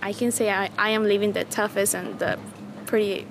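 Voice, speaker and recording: A woman's voice: mid-range voice, steady tone, normal speed, noisy background. A young woman from Mexico with a Mexican Spanish accent, a native Spanish speaker, earnestly answering interview questions as a student. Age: young adult.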